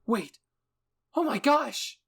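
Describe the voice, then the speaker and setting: Anime scientist woman, acted by a man: raised pitch, eager, stubborn, breathlessly enthusiastic. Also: animated, takes it slowly, creaky, fairly bright tone, slightly rough, exaggerated voice. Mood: excited, insistent. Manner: breathless enthusiasm, thinking aloud. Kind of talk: anime fan-fiction character reading.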